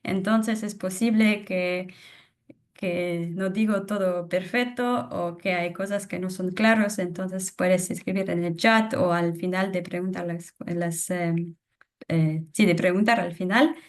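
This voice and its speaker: A young woman presenting a webinar in a language that is not her first, halting with many fillers and restarts. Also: lowish voice, fairly even intonation. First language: not Spanish. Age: young adult. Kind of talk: webinar talk on soil.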